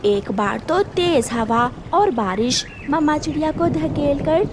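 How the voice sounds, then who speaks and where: A woman's voice: high voice, animated, slow, heavy background noise. A woman narrating a scripted children's story in a dramatic way, as storyteller.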